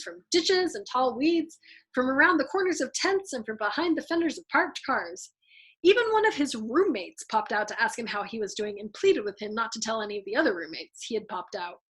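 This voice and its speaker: A woman's voice: a little high, a bit bright. A woman narrating a novel as a storyteller, in an audiobook style. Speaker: storyteller reading a novel aloud.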